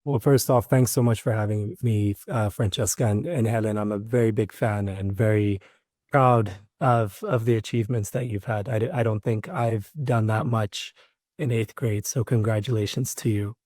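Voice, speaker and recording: A man's voice: fairly low pitch, moderate pace, darkish tone, no echo. Thoughtful man from Ethiopia, dry sound. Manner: thoughtful, frequent uh fillers and restarts. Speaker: guest, start-up founder. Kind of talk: conversational interview answer.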